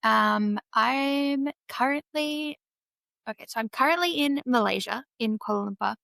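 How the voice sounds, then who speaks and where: A woman's voice: on the high side, a little slow, vocal fry, sharp tone, dry recording. A woman with an Australian accent, chatting casually on a podcast with many fillers and little giggles. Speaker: co-host. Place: travelling, on a video call from abroad.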